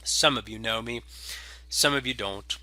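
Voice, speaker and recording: A man voicing a smooth, commanding crime lieutenant in a space opera story, formal and measured. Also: mid-range voice, bright, forceful tone, no room sound. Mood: cool, commanding. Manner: formal, measured, coolly authoritative.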